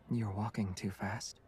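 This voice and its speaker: A man playing a gentle, teasing young hunter in a video game, speaking softly and with understatement. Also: lowish voice, husky, exaggerated voice. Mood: lightly teasing. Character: Xavier, soft-spoken young male hunter, gentle and teasing. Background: background music.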